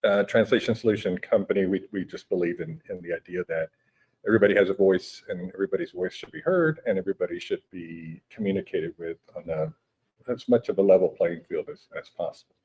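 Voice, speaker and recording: Husky-voiced man with a low voice. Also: fast talker, low-quality recording. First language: Spanish. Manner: relaxed, explanatory, many hesitations and restarts. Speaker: guest, education technology company director. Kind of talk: conversational interview. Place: conference venue.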